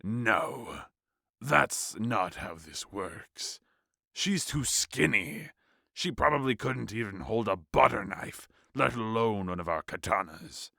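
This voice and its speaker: A man playing an arrogant, cruel warlord king in an audio fantasy play, gravelly and disdainful. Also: fairly low pitch, lively intonation, pushed and bright, dry recording, studio quality, heavily acted. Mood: smug, contemptuous. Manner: slow, disdainful.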